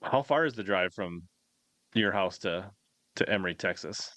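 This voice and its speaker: Low-pitched man hosting a casual livestream. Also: animated, moderate pace, a bit bright, no room sound, poor audio. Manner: rambling, reads out viewer questions. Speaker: fishing livestream host. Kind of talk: casual live-stream interview chat.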